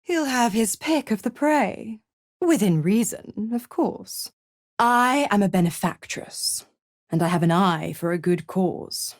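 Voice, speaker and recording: A woman's voice: medium pitch, animated, takes it slowly, no room sound, clean studio sound. An actress playing a scheming, cruel, seductive she-devil villain in a video game, with a taunting, condescending manner. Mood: smug, silky. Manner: taunting, drawling, condescending, purring endearments like "pet". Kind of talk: theatrical video game character acting.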